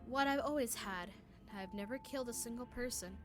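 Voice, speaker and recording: Woman reading as a calm, formal accused alchemist. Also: a little high, close to an everyday voice. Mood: calm, defiant. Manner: measured, formal, stumbling over the script. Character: calm, patient accused male alchemist defending himself.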